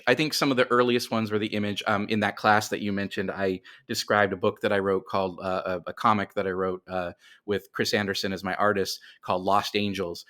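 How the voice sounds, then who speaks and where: Man, lowish pitch, casual interview guest, writer explaining process, halting, self-correcting. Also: a bit bright. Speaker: podcast guest, writer of comics and screenplays.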